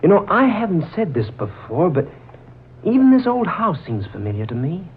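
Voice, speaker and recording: A man's voice: high voice, big pitch swings, normal speed. An actor playing a courteous, puzzled man, hesitant and musing, in a 1940s radio drama. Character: courteous, puzzled gentleman haunted by odd familiarity. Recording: muffled, crackly old 1940s radio recording.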